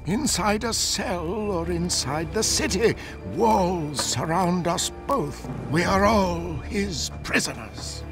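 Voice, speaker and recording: A solemn old sage. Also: male voice, high-pitched, animated, over music, heavily acted. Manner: solemn, measured.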